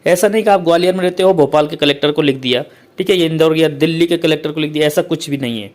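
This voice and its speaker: A male teacher who explains a letter format step by step, repeats points often and reads English lines out slowly. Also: fairly high pitch. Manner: repetitive, keeps saying "okay, friends".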